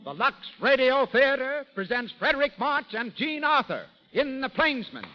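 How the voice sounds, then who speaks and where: Man, American accent, vintage radio pitchman, formal showman delivery, animated intonation, music playing behind, muffled old recording. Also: normal speed. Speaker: radio show announcer and sponsor pitchman. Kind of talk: 1930s radio announcing, scripted. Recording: dull 1930s radio broadcast, music behind.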